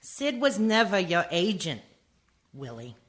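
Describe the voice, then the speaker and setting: A woman's voice: lowish voice, big pitch swings, a little slow, a bit bright. A woman playing a patient, long-suffering wife in a comic radio play, soothing and placating with an exasperated edge.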